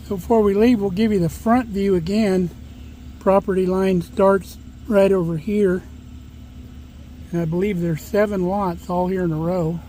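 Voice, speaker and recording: A man casually narrating to his camera outdoors, explaining a property sale in a relaxed way. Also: high-pitched, unhurried, slight background noise, low-quality recording. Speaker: vlogger.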